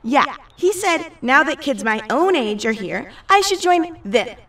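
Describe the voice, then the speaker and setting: A woman's voice: high-pitched, sing-song, a little slow, a bit bright, far from the mic, full character voice. A woman playing a petulant, emotional teenage superhero girl with a sulky, informal manner. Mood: indignant sulk.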